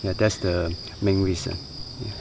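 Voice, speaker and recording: A man's voice: low-pitched, flat delivery, a little slow, creaky, a crowd in the background. A man in his twenties with a Hong Kong accent, answering casually in an interview. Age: 20s. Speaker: festival attendee interviewee. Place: outdoors against dark foliage. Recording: slightly distant, insect-laden outdoor noise.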